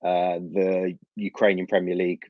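A young man with a London accent and a very low, deep voice talks through his work as a guest journalist, measured and explanatory, with small laughs. The sound is dull, dry and lo-fi, as if from a video call. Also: monotone, dry recording. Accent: London English. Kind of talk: casual interview chat.

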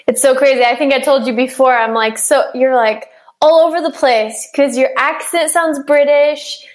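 A woman's voice: high voice, moderate pace. A woman hosting an interview, talking in a bubbly, giggly way with lots of fillers. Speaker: podcast host.

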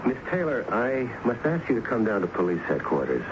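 An actor playing a courteous, patient police lieutenant in a 1970s radio mystery, speaking a bit haltingly. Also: male voice, mid-range voice, big pitch swings, lightly acted. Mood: patient, firm. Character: polite, steady male police lieutenant, a detective. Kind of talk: 1970s radio drama acting. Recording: noisy, dull 1970s radio broadcast.

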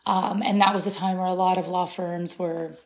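Woman guest with thoughtful, halting delivery. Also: lowish voice, level tone, a little mellow. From Syria. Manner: thoughtful, many restarts and fillers. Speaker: interview guest. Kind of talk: conversational interview.

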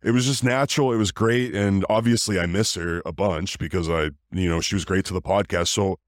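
A man who hosts a podcast, talking casually in a deep voice, rambling with fillers and restarts. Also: steady tone, fairly quick, no echo, clean studio sound. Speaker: podcast host. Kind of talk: casual interview chat.

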